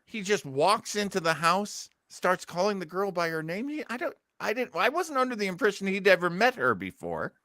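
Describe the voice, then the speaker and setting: Bright, jokey man, animated and close. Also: high-pitched, moderate pace, few pauses, no room sound. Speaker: show co-host. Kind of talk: casual comic commentary.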